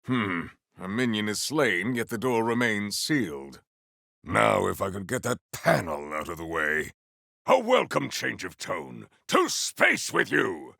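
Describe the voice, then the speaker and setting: An actor voicing a proud, honourable video game warrior who speaks in a formal, archaic, solemn and theatrical way. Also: male voice, on the low side, big pitch swings, unhurried, creaky, pushed and bright, no echo, clean studio sound, exaggerated voice. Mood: determined. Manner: formal, archaic, grandiose phrasing.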